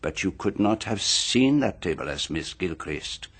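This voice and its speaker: Low-voiced, precise male detective. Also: lively intonation, breathy edge, heavily acted. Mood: grave, methodical. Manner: deliberate, precise, with dramatic pauses. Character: shrewd, composed male detective laying out a murder case. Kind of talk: radio drama acting.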